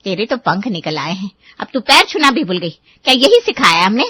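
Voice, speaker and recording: A woman voicing a stern, nagging Indian mother in an animated moral story. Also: mid-range voice, normal speed, breathy edge, dry recording, exaggerated voice. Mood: exasperated. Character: strict, scolding Indian mother of a grown daughter.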